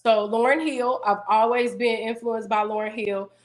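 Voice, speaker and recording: Woman guest, deeper-voiced, steady and businesslike in casual interview talk. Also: normal speed, poor audio. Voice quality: deeper singing voice. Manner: self-assured, businesslike, steady. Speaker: singer-songwriter guest.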